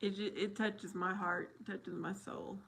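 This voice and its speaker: A woman chats slowly and easily on a live stream, answering viewers by name and laughing often. She keeps an unhurried pace, with faint guitar music playing softly behind her. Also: medium pitch, steady tone, gravelly. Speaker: live streamer reading chat. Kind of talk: casual live stream chat.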